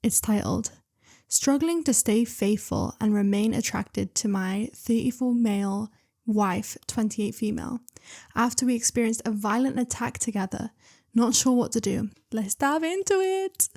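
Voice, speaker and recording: Young woman story reader with a slightly high voice, chatty and excitable, full of asides. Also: unhurried. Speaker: reader and channel host. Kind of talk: reading online stories aloud with commentary.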